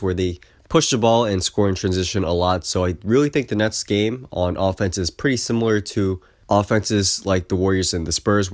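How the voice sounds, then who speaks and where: A man's voice: deep voice, no room sound, studio quality. A young man with a congested cold voice, giving a casual basketball recap as a video host and often saying "I definitely think". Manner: easygoing, repeats "I definitely think". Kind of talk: casual sports recap commentary.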